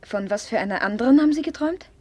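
An actress playing a proud, impulsive, jealous young woman of good family in a classic German radio play, her manner volatile and demanding, her voice breathy. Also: a little high, animated, racing, darkish tone, no echo. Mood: imperious, testing. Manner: imperious, volatile, swinging from confiding to accusing.

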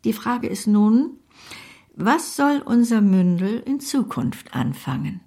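An actress playing a brisk old great-aunt, strict but fond, with a creaky voice and formal manner in a literary radio drama. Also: female voice, mid-range voice, big pitch swings, darkish tone, full character voice. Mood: formal, reflective. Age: older. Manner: formal, decisive.

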